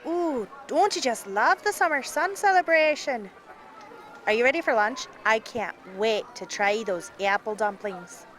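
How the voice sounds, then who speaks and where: A woman's voice: a little high, lively intonation, unhurried, fairly bright tone. A woman playing a chatty, cheerful townspony, talking casually and eagerly in a radio drama. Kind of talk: fan radio drama acting.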